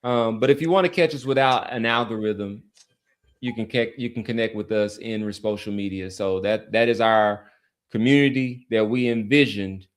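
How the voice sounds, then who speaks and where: A man hosting a sports podcast in a casual, conversational way, rambling a little and pausing to search for words. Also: on the low side. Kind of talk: casual sports chat and community sign-off.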